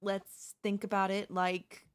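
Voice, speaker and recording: A woman's voice: mid-range voice, monotone, slow pace, clear, resonant tone, natural voice. A woman voicing a sly, chatty female zombie in a comedic audio roleplay, coaxing and reasoning in a casual, conversational way. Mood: coaxing, wheedling.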